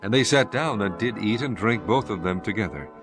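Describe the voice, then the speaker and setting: A male narrator reads scripture from a script. His pitch is on the low side and his delivery is solemn and formal, with quiet music underneath. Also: animated, a little fast.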